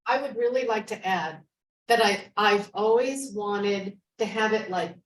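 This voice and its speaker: A woman speaks at a middling pitch from some distance off the microphone in a very echoey space. She talks casually as a board member, chipping in informally. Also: unhurried. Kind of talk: casual meeting talk.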